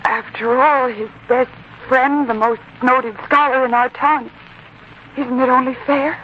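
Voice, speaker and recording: A woman's voice: sits high, fairly even intonation, unhurried. A young woman acting an earnest, anxious bride who pleads for help in a 1940s radio drama. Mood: anxious, persuading. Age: young adult. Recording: muffled, noisy 1940s radio recording.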